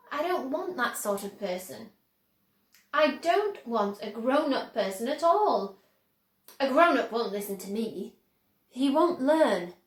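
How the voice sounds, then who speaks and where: A woman's voice: fairly high pitch, roomy sound. A woman voicing an eccentric, exuberant old man who owns a chocolate factory, speaking earnestly and emphatically in a storybook reading.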